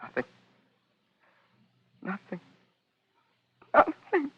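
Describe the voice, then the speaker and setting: An actor playing an anxious, distraught son who speaks to his father in halting, repeated phrases in a vintage radio drama. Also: highs cut off. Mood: dazed. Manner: halting, repetitive, nervous stammer. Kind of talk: 1950s radio drama acting.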